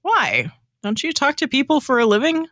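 A woman's voice: high-pitched, wildly swooping pitch, normal speed, fairly bright tone, no echo, clean studio sound, heavily acted. A woman playing a loyal, frank friend in an amateur comic radio play, casual and wry. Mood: wry, supportive.

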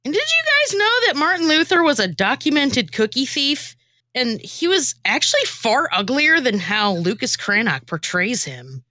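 A woman with a theatrical, animated delivery, moving between comic storytelling and casual podcast chat. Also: high-pitched, normal speed, no echo, clean studio sound. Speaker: podcast former co-host and guest performer.

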